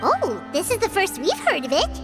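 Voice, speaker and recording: A woman's voice: extremely high pitch, wildly swooping pitch, normal speed, full character voice. A woman voicing a tiny floating fairy-like companion who is bubbly and blurts out her thoughts, over game music. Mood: surprised.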